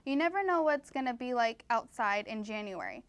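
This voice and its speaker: A woman's voice: a little high, animated, moderate pace, fairly bright tone, clear, resonant tone, no echo. A woman anchoring a scripted mock newscast, introducing reports in news-anchor style. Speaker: news anchor in a college's comedic promo newscast.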